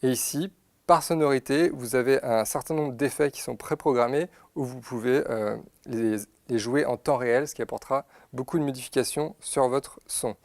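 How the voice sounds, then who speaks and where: A man giving an unscripted, methodical product demonstration, pausing to find his words as he explains each step. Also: mid-range voice, no echo. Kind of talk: unscripted instructional product demo. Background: synthesizer demo sounds.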